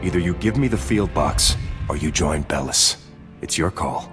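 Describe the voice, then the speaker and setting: A ruthless male sergeant from a sci-fi game, speaks in a low voice over music. He is curt and sardonic, every order carrying a threat. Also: lively intonation, normal speed. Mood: cold, demanding. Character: menacing, ruthless male soldier, a sergeant in a space army. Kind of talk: video game cutscene acting.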